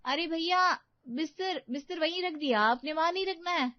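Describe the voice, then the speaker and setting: A woman's voice: very high-pitched, moderate pace, no echo, pitched above the performer's own voice. A woman voicing a demanding, spoilt young bride-to-be in an audio story, fussy and gushing. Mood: fussy, indignant. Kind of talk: Hindi audio story acting. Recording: slightly dull, dry studio recording.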